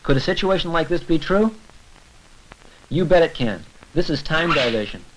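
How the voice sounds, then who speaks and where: A man's voice: on the high side, expressive, moderate pace, slight background noise, poor audio. A man giving scripted educational film narration, explaining things step by step and addressing the viewer directly.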